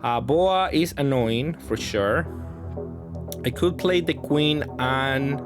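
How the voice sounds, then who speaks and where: Male gamer, mid-pitched voice, casual gameplay commentary, thinking aloud, clear background music. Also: slow. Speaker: card game player and commentator.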